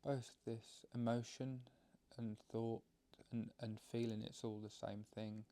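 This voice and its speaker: A man leading a guided meditation, explaining it gently in halting, unscripted phrases. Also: medium pitch, little pitch movement, no room sound. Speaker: meditation teacher.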